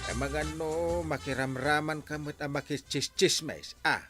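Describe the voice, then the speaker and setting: A man with an Ilocano accent playing a touchy, blustering barangay captain in a radio comedy drama, exclamatory and expressive. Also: fairly high pitch, a little slow, soft background music. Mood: teasing, nosy.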